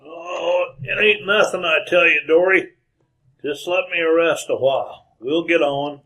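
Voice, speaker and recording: An actor playing a stubborn, curt wounded outlaw in a radio western, weak and in pain, with an American Western accent. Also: male voice, a little high, a little slow, sharp tone, rough recording. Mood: strained, defiant. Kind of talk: old-time radio western acting.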